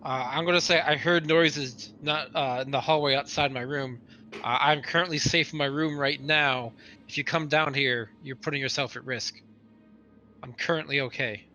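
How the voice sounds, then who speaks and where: A man's voice: a little high, fairly even intonation, normal speed, sharp tone, muffled recording, slight background noise. A man speaking casually in character as a player in a tabletop roleplaying game.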